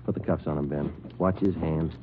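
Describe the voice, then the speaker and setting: Fast, low-voiced hard-boiled American police detective. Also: male voice, steady tone, very noisy. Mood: businesslike, terse. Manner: clipped, matter-of-fact, hard-boiled. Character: tough male police detective, terse, quick-tempered, dogged. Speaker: police detective lead and narrator. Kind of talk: 1940s radio crime drama acting. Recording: muffled vintage 1940s radio recording, music behind.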